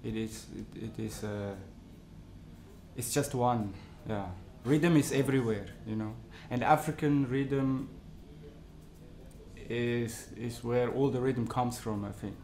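A man from Switzerland, a musician talking haltingly in an interview and searching for his words. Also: medium pitch, darkish tone. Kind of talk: casual interview. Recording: echoey room.

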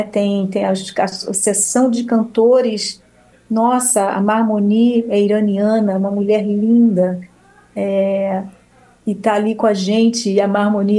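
Mellow-voiced Brazilian woman singer. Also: medium pitch. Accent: Brazilian Portuguese. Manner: warm, rambling, with restarts and frequent "né?". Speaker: singer and choir leader. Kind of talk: casual interview talk.

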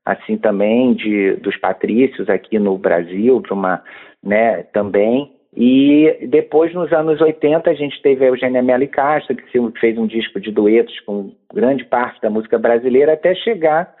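A man's voice: medium pitch, moderate pace. A man with a Brazilian Portuguese accent, a music researcher talking conversationally with restarts and tag questions. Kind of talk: interview clip, recalling music history. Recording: phone-like narrow band.

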